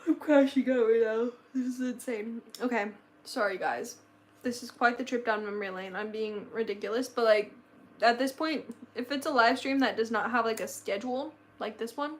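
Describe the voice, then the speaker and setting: A young woman in her late teens chatting casually on a livestream, bubbly and rambling, her voice a little worn. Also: fairly high pitch. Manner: bubbly, gushing, rambling with many fillers. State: slightly losing her voice. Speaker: livestream host.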